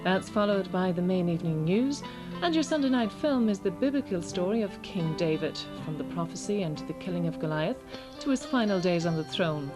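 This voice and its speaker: An Irish-accented woman reading scripted television continuity announcements in a polished, measured way. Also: on the low side, expressive, over music.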